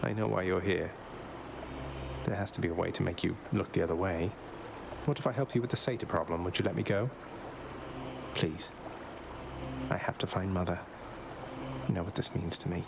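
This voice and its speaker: An actor playing a cheeky, earnest young underworld prince in a video game, dryly polite. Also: male voice, deep voice, moderate pace, gravelly, pauses often, noisy background. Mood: earnest, pleading. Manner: dry, polite sarcasm. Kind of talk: video game character acting. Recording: loud game music behind.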